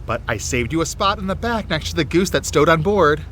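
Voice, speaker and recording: An actor playing a friendly, apologetic fellow traveller in an audio drama. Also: male voice, on the high side, expressive, a little fast, a bit bright. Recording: faint outdoor noise.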